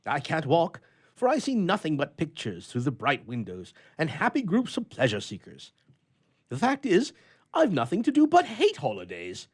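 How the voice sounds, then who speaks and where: A man's voice: a little high, wildly swooping pitch, moderate pace, slightly rough, voice pushed up, heavily acted. A man voicing an irritable, lonely bachelor in a storybook reading, with emphatic, indignant, old-fashioned oratory. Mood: indignant misery.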